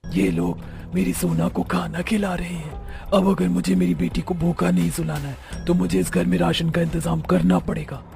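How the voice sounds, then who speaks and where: A woman playing the vengeful, protective ghost of a murdered daughter-in-law in a dramatic cartoon, with a creaky, gravelly voice. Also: on the low side, lively intonation, normal speed. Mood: brooding, resolute. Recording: music behind the voice. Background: clearly audible music.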